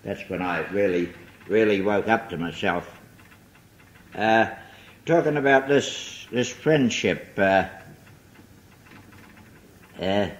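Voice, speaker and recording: Older Liverpool man, lowish voice, rambling self-mocking speaker, recorded on old tape in an echoey hall. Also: muffled recording. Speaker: speaker at a recovery meeting. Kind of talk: personal testimony talk. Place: large hall.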